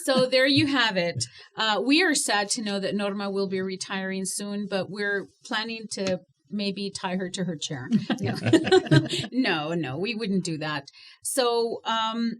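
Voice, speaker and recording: A woman's voice: mid-range voice, animated, takes it slowly, a bit bright, slight background noise. A woman hosting a podcast interview, teasing her guests and laughing often. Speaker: podcast host. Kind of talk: casual interview chat.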